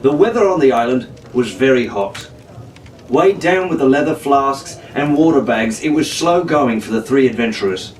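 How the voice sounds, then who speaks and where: A man's voice: mid-range voice, expressive, normal speed. A man reading a storybook adventure aloud as an audiobook narrator. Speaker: audiobook reader. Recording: roomy, faintly noisy, distant microphone.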